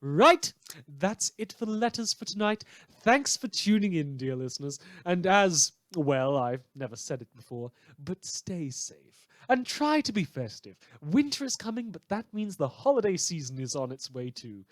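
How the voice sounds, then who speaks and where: A man's voice: fairly high pitch, sing-song, moderate pace, a bit bright, no echo. A man playing a sardonic villain who hosts a post-apocalyptic survivalist radio show, with a theatrical, sarcastic delivery. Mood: mock cheery. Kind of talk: fiction podcast as in-character radio monologue.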